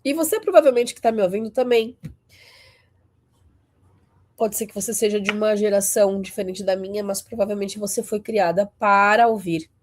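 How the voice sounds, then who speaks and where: A woman's voice: mid-range voice, moderate pace. A woman, giving a conversational talk to fellow Christians, often tagging her sentences with a questioning "né?" and leaning into emphatic rises. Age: 40s.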